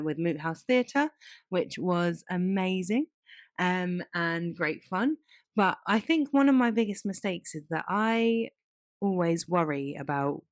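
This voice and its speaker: A woman's voice: fairly low pitch, dry recording, clean studio sound. A woman co-hosting a casual podcast, talking informally and candidly with some self-deprecation. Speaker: podcast co-host.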